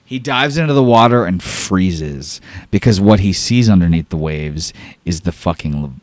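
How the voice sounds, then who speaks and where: A man's voice: deep voice, expressive, normal speed, no room sound. A man narrating an improvised tabletop sci-fi horror game as game master, in a casual, profane, dramatic way.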